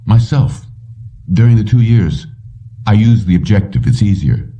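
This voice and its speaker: Man reading dark-voiced determined mechanic, muffled. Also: on the low side, normal speed, voice pushed up, lightly acted. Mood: musing. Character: determined male mechanic, bargaining hard, defiant. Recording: muffled, distant-sounding dull recording.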